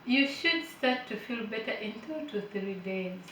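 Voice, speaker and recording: A woman's voice: fairly high pitch, lively intonation, measured pace, fairly bright tone, a little hiss or hum. A woman from Uganda, a care worker giving an informal health lesson, reading notes aloud and explaining them with hesitant fillers and light chatty asides. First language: not English; Finnish is her third language. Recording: echoey room, voice a bit far from the mic.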